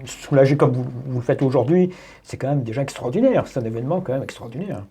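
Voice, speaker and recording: A man's voice: medium pitch, expressive, fast, mellow, husky. A male radio co-host asking a guest curious, emphatic questions. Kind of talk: radio interview.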